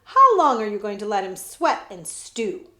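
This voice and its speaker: A woman voicing a brash street boy who talks loud and cocky in slang. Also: medium pitch, sing-song, moderate pace, echoey room. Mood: scolding.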